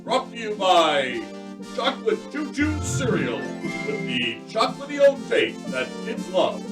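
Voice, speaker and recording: A roomy, distant recording with loud music carries a man acting as a grand old-time radio serial announcer. He introduces a children's space adventure theatrically, swooping widely in pitch. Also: big pitch swings. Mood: grand, dramatic. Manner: theatrical, grandly drawn-out delivery. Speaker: announcer and narrator.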